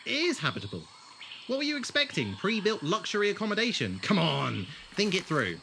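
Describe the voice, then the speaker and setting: Man acting eccentric time traveller, very lively intonation, theatrical, wordy, teasing, audio drama, faint background noise. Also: sits high, a little fast, creaky, exaggerated voice. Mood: wry, teasing.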